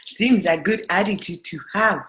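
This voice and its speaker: A woman's voice: mid-range voice, moderate pace, fairly bright tone, natural voice. A woman playing a cool, defiant prisoner in an irreverent radio play, wry and commanding, in a roomy echo. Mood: wry, curious.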